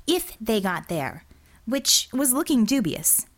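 A woman's voice: a little high, very animated, slow pace, exaggerated voice. A woman voicing a defiant, sarcastic young female healer in an audiobook, arguing in a flippant, clipped way. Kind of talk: audiobook character dialogue.

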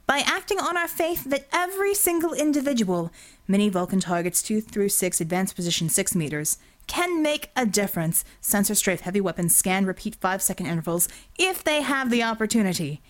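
A woman voicing a smooth-talking male boss who orates slowly like a politician, in an audiobook. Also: mid-range voice, sing-song, lightly acted. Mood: grandiose, measured. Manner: slow, politician-like oratory.

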